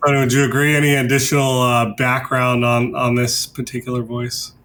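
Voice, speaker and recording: A man's voice: medium pitch, completely flat delivery, brisk, a bit bright. A man hosting a discussion podcast in a conversational style. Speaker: podcast host.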